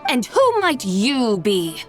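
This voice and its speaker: Haughty, animated immortal adeptus lady. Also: a little high, slow, faint music behind, exaggerated voice. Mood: haughty teasing. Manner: imperious, archaic formal phrasing. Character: haughty, imperious female adeptus spirit, forgetful and old-fashioned. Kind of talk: anime video game voice acting.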